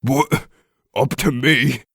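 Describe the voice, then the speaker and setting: A man's voice: mid-range voice, slow pace, vocal fry, a bit bright, breathy edge, dry recording, studio quality, full character voice. A man playing a troubled, determined pack leader in a fantasy audio drama, speaking formally and earnestly with a commanding manner. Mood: desperate.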